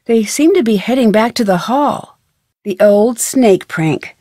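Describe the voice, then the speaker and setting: A woman playing a curious, determined young detective in a video game, thinking aloud in crisp speech with an American accent. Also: fairly high pitch, animated, a little slow, dark tone, no room sound, studio quality. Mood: curious, focused.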